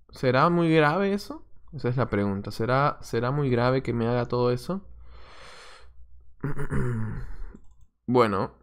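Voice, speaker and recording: A young man talks through a chess game as he plays it, half muttering his moves and half chatting with his viewers. His voice sits at an ordinary middle pitch. It was recorded at his desk in a small room, with a mouse clicking now and then in the background. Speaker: chess coach streaming a game. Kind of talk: live-stream commentary while playing.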